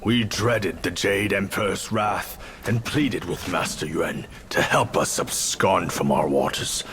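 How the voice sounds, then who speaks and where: A man's voice: low voice, a bit bright, a little hiss or hum. A man voicing a grave, vengeful male dragon spirit in a video game cutscene, speaking in a solemn, heavy way. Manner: solemn, heavy slow delivery. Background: music.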